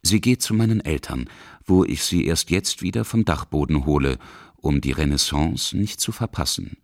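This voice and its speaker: A man's voice: very deep voice, animated, moderate pace, creaky, a little mellow, no echo, clean studio sound. A middle-aged man from Bavaria reading scripted narration for a radio documentary. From Bavaria, Germany. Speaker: radio feature author and reporter.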